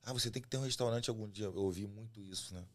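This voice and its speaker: A man from Rio de Janeiro with a Carioca accent, a chef chatting as a guest on a podcast in a relaxed, talkative way. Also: fairly low pitch, racing. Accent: Carioca Brazilian Portuguese. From Rio de Janeiro, Brazil. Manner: relaxed, chatty, often ends phrases with a tag question. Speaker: chef and restaurant owner, guest. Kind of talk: casual podcast interview.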